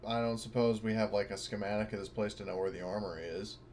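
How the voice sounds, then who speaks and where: Low-voiced man in a casual game session. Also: steady tone, fast talker, a little mellow, slight background noise. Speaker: player in a tabletop roleplaying game. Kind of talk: casual tabletop roleplay chat.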